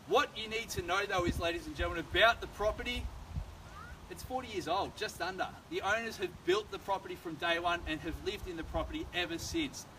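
An Australian man in his thirties working as an auctioneer, running a live property auction with brisk, persuasive sales patter on a suburban street. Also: high voice, creaky. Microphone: far camera, no microphone. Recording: distant outdoor camera audio, loud street noise.

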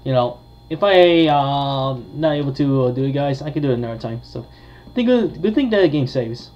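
A man giving casual, hesitant gameplay commentary with frequent pauses. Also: mid-range voice, expressive. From United States. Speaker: gamer, video creator. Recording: dull lo-fi mic, faint noise.

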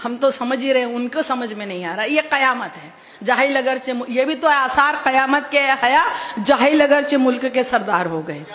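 A woman's voice: high-pitched, lively intonation, normal speed, a bit bright. A middle-aged woman poet reciting verse on stage at a podium microphone, declamatory and emphatic, repeating couplets to the audience. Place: stage at a public gathering with podium. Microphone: stand microphone on podium.

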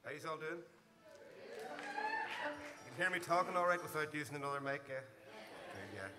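A man in his thirties with a Northern Irish accent, a traditional musician casually introducing tunes from a concert stage, relaxed and joking with fillers. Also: on the high side, unhurried, loud music behind the voice. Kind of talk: casual onstage tune introduction.

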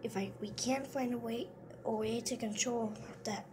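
A woman voicing a calm, polite, fatherly faceless monster in an amateur comic dub. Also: a little high, normal speed, lightly acted. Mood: concerned. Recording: noisy amateur phone recording.

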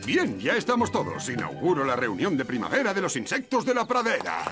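Grumpy, wildly animated cartoon beetle. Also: male voice, on the high side, a little fast, music in the background. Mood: pompous, official. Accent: Castilian Spanish. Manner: pompous, indignant, formal speech-making. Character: grumpy, self-important adult male dung beetle. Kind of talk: animated children's cartoon dub.

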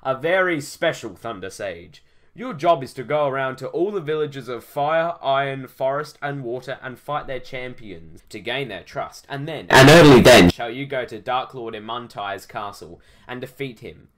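A man acts out an ancient ghostly sage from a fantasy storybook. He is solemn and commanding, speaking in a slow, portentous way as if handing down an urgent quest. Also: medium pitch, natural voice.

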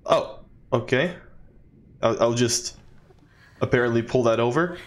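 A man's voice: mid-range voice, measured pace. A man giving casual, rambling let's play commentary as a gaming host, with a wry, joking manner.

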